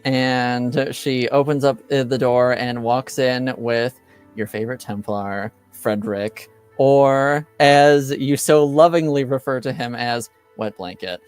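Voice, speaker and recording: A man narrating an improvised tabletop game as game master, halting and tentative as he feels for words. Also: medium pitch, smooth, soft background music.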